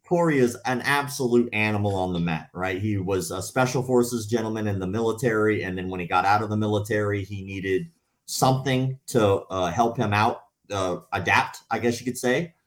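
A man's voice: fairly low pitch, normal speed. A man in his late thirties, a podcast co-host and striking coach, telling stories in casual chat and acting out quotes. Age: 30s. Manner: animated storyteller, acts out quotes.

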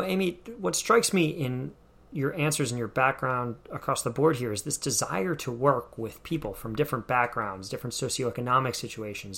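A male podcast host asks measured, reflective questions. His voice has a gravelly, creaky texture, and it sits at an ordinary pitch for a man. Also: fairly bright tone. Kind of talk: interview questions.

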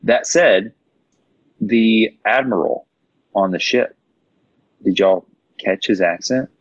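A man with a deep, slightly rough voice hosts a casual discussion. He thinks aloud and rewords his points conversationally. The recording is dull and lo-fi. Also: takes it slowly. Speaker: podcast host. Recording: roomy echo, dull lo-fi sound.

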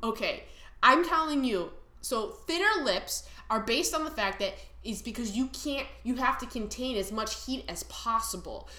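A woman's voice: fairly high pitch, expressive, normal speed, bright, forceful tone. A woman trading playful comic banter, mock-indignant and boastful. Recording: echoey room.

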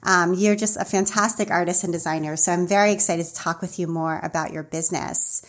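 A woman's voice: fairly low pitch, fairly even intonation, brisk. Woman podcast host, enthusiastic and complimentary, casual interview delivery.

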